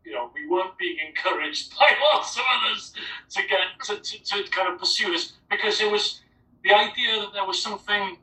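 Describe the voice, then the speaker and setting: A Welsh man answers on a discussion panel with a bright, forceful voice. He rambles with restarts and fillers. He is recorded in an echoey room some way from the mic. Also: high voice, brisk, faint music behind. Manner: discursive, many restarts and "you know". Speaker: academic panelist. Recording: roomy, distant mic.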